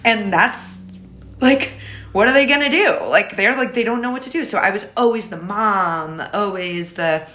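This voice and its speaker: A woman in her thirties with a raspy low alto voice, chatting casually and rambling through many fillers and restarts. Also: moderate pace, pushed and bright. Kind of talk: casual podcast conversation.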